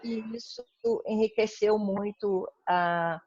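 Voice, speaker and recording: A Brazilian woman interviewed on a video call, telling stories with warm enthusiasm and frequent tag questions. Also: medium pitch, fairly even intonation, takes it slowly, highs cut off, slight background noise. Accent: Brazilian Portuguese. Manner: warm, enthusiastic storytelling with many "né?" tags. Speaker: interviewee, heritage language educator. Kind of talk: casual interview conversation.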